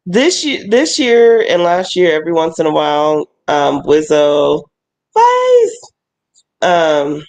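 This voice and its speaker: A woman who performs at haunted attractions, talking in a relaxed, rambling way with lots of fillers and restarts. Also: deep voice, slow pace. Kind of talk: casual chat.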